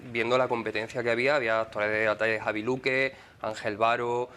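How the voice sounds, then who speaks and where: A man, an actor interviewed in a TV studio, talking quickly with nervous laughs and stumbles. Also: mid-range voice, flat delivery, sharp tone, clean tone. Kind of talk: TV interview answers.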